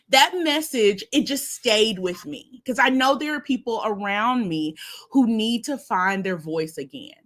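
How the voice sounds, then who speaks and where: Animated, bright-voiced woman hosting a live show. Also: on the high side. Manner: chatty and animated, addresses viewers as y'all and honey. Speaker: host of a live stream show. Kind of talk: casual live-stream talk to viewers.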